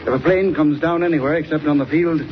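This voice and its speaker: A man's voice: on the high side, steady tone, fast talker, close to an everyday voice. An actor playing an earnest middle-aged male air-transport manager in a 1940s radio drama. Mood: sober, explaining. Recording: noisy, muffled 1940s radio serial recording.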